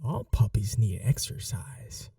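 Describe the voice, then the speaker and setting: A woman voicing a doting but cruel vampire mistress in an intimate ASMR roleplay, teasing and coaxing in a breathy voice that often drops to a whisper. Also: extremely low pitch, very animated, takes it slowly, mellow, no echo, studio quality, recorded from a distance, exaggerated voice.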